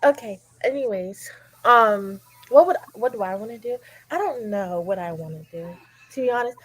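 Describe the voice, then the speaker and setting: A woman's voice: mid-range voice, animated, normal speed, no room sound. A woman in her twenties on a casual panel, rambling and unsure of herself. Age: 20s. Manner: rambling, unsure, self-correcting. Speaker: debate panelist.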